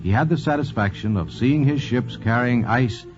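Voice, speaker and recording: A man's voice: mid-range voice, normal speed, music in the background. An American man delivering scripted narration in the style of a 1940s radio documentary. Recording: dull vintage 1940s radio recording.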